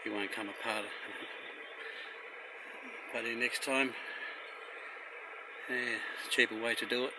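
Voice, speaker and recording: A man's voice: medium pitch, fairly even intonation, measured pace, pauses often. An Australian man giving a casual, rambling, plain-spoken walkthrough of a hands-on job, recorded on location around a car. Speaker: vlogger showing a DIY car radio install. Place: in and around a car under a carport. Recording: noisy handheld recording on location.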